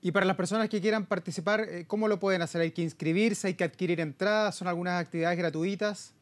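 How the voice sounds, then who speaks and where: Fast-talking Chilean male news presenter. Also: high voice, fairly even intonation. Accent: Chilean Spanish. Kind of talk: live television interview questions. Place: television studio.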